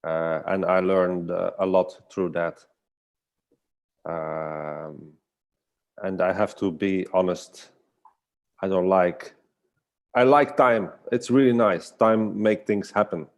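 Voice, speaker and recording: Very deep-voiced man, thoughtful and hesitant. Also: fairly even intonation, takes it slowly, long pauses. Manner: hesitant, searching for words. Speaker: guest artist and storyteller. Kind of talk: casual reflective online conversation.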